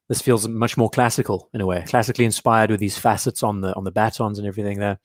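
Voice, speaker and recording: A young man talks casually through a live stream with a hoarse, strained voice, now and then clearing his throat. His voice sits somewhat low. The sound is close and dry. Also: no room sound. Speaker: host of a live watch show. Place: at home.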